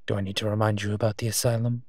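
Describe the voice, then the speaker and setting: A man playing a teasing, protective monster detective in human form, gentle and husky, in an intimate audio roleplay. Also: on the low side, level tone, no echo, studio quality, close to an everyday voice. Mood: confident, fussing. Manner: slow, teasing, tender endearments.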